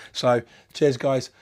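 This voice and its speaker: A man talks through a football match reaction on his fan channel, his voice croaky from a sore throat. His pitch sits in an ordinary middle range. He rambles and restarts his sentences, keen and upbeat. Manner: rambling, many restarts, enthusiastic. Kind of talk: solo match reaction vlog.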